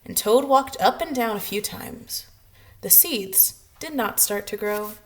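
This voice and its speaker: A woman's voice: medium pitch, lively intonation, a little slow. A woman preschool teacher hosting story time, speaking directly to young children as she greets them and reads.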